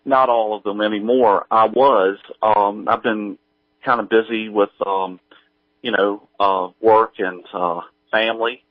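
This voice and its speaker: Middle-aged man, interview guest, hesitant and conversational, lower register, band-limited recording. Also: steady tone, no echo, dull audio. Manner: halting, hesitant delivery full of pauses. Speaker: guest, audio drama creator. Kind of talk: casual interview chat.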